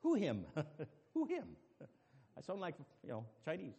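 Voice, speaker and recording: A man preaching a sermon as pastor, dropping to quiet asides and rising to loud, emphatic calls to the congregation. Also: high-pitched, huge pitch swings, measured pace, gravelly, breathy edge. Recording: long reverb with faint music behind.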